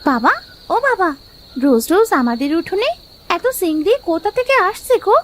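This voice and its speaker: A woman's voice: extremely high pitch, big pitch swings, moderate pace, slight background noise. A woman playing a sweet, dutiful young village girl in a fairy-tale cartoon, coaxing and asking questions. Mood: curious. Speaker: cartoon voice actor. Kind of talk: Bengali moral fairy-tale cartoon acting.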